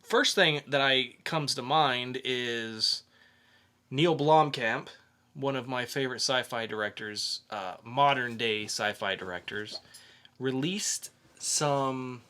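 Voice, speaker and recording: A man co-hosting a podcast, talking casually through entertainment news in a halting, conversational way with pauses. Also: mid-range voice, sharp tone, smooth. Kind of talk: casual geek news chat.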